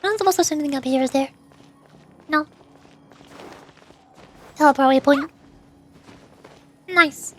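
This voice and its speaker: A woman's voice: high voice, unhurried, long pauses, a little hiss or hum. A woman game streamer chatting to herself and reading on-screen text aloud as she plays. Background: game music.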